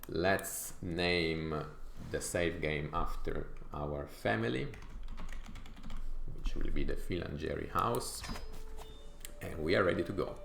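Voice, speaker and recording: A very low, gravelly male voice with a clear Italian accent, talking a game through step by step. He explains his choices patiently, pausing on fillers as he thinks. The sound is roomy with a faint hiss behind it. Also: fairly bright tone. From Sicily, Italy. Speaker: gaming YouTuber. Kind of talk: casual gameplay commentary. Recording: echoey room with faint noise.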